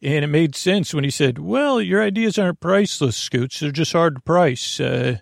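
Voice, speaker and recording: A man with a creaky voice hosts a bedtime podcast, rambling in a halting, meandering, self-deprecating way full of tangents. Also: fairly high pitch, expressive, dry recording, clean studio sound. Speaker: podcast host.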